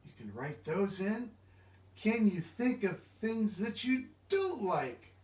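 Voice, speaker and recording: A man giving an animated, expressive children's storybook read-aloud, engaging his listeners directly. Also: high voice, slow pace. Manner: expressive, interactive, asks listeners questions. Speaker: children's book reader. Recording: echoey room, distant mic.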